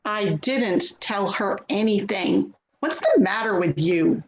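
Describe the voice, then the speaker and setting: A woman's voice: mid-range voice, animated, slow. A woman acting an anxious, self-doubting grown daughter in a play reading, speaking in a placating, hesitant way. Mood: defensive. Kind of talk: dramatic play reading, naturalistic dialogue. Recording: echoey, dull, noisy remote recording.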